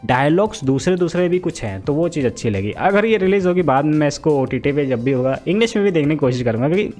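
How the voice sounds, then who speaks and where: A man's voice: on the high side, animated, fast talker, darkish tone, faint music behind. A young man thinking aloud about a film in a casual vlog, mixing English into Hindi with many fillers. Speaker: film vlogger. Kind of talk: casual Hinglish vlog commentary.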